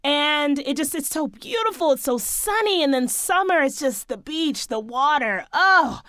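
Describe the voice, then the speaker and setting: Female podcast host, a touch hoarse, sassy and chatty, higher voice, dry close sound, faint music underneath. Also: animated, a little slow, a bit bright, no echo. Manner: sassy, chatty, playful asides to listeners. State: slightly hoarse, losing her voice. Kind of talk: casual solo podcast chat.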